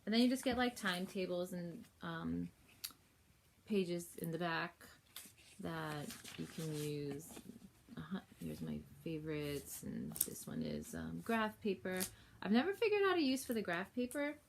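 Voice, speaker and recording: A woman with a cold, sniffly, chatting casually through a planner unboxing at home while filming on her phone, rambling with fillers and soft laughs. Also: on the low side, expressive, unhurried, vocal fry, slight background noise. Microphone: phone held while filming.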